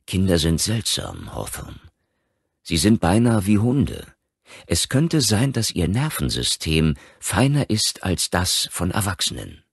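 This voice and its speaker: Man playing frank male psychiatrist, earnest lecturing, animated intonation, husky, audiobook drama. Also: sits low, a little slow, no echo, studio quality, full character voice. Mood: earnest, convinced. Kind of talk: audiobook character acting.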